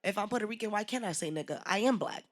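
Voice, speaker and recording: A woman's voice: mid-range voice, lively intonation, racing. A woman co-host speaks in a mid-range voice. She is outspoken and emphatic, debating casually in slang with plenty of swearing. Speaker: podcast co-host.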